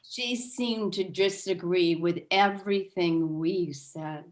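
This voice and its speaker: A woman playing a stern, self-righteous, sharp-tongued suburban neighbour, curt and disapproving, in a staged play reading. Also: fairly low pitch, slow pace. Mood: scornful.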